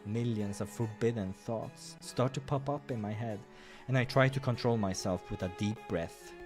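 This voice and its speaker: A man's voice: medium pitch, darkish tone. A man hosting a game playthrough, reading visual novel narration and breaking off for casual asides.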